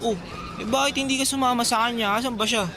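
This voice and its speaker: A man's voice: extremely high pitch, steady tone, fast. A man playing a friendly, cheeky teenage fairground boy in a radio drama, with casual teasing delivery. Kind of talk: Tagalog radio drama acting. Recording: background music, outdoor traffic sounds.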